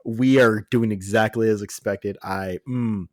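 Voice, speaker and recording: A man's voice: on the low side, fairly even intonation, unhurried, no room sound, studio quality. A man co-hosting a podcast, chatting casually about movies in a rambling, sardonic way. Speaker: podcast co-host.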